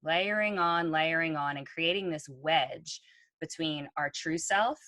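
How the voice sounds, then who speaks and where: Low-voiced woman in earnest reflective talk. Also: measured pace, pushed and bright, no room sound. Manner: earnest, reflective, with frequent ums. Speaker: podcast co-host. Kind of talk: conversational podcast talk.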